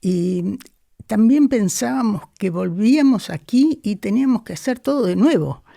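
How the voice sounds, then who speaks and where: A woman, talking slowly and thoughtfully as a guest in an interview. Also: mid-range voice, lively intonation, vocal fry, dark tone, no echo, clean studio sound. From Argentina. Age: 80s. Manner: unhurried, thoughtful, frequent hesitations. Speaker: guest, psychoanalyst. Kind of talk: reflective interview conversation.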